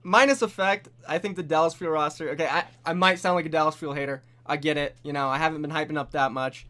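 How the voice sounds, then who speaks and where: A young man hosting a casual esports prediction chat, animated and full of bold, hype-driven takes. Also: fairly high pitch, fast. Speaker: host.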